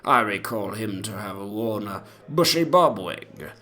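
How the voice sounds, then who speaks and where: A man playing a very old, self-important English gentleman, reading an ornate antique memoir in a deliberate, pompous storytelling manner. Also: fairly low pitch, expressive. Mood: fastidious, haughty.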